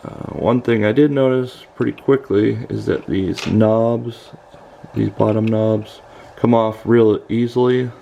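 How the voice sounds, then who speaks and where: American male reviewer, low and gravelly, understated and hesitant, casual demo over faint noise. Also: mellow, muffled recording. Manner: hesitant, understated, matter-of-fact. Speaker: product reviewer. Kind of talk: casual product demonstration.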